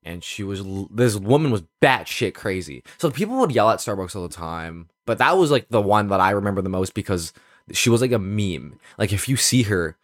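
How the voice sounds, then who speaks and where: A man's voice: lowish voice, animated, brisk, no room sound. A young man co-hosting a podcast, telling stories casually in slangy, profane, exaggerated language. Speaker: podcast co-host. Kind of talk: casual chat, storytelling.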